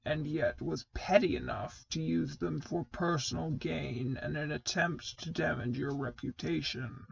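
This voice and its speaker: Muffled sound, woman performing a stern armored male mentor, low gravelly voice, formal, authoritative, audio drama villain. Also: heavily acted. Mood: cold anger.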